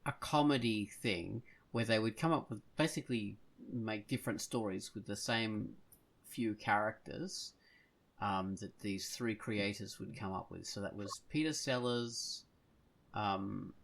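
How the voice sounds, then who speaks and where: A man hosting a casual podcast, talking in a halting way as he thinks aloud. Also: fairly low pitch, vocal fry. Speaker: podcast host.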